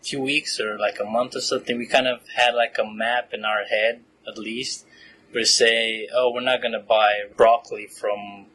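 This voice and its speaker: A man in his late twenties from Romania, a graduate student answering interview questions in a relaxed, chatty way with frequent fillers and laughs. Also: mid-range voice, moderate pace, a little hiss or hum, low-quality recording. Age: 20s. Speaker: podcast guest, PhD student.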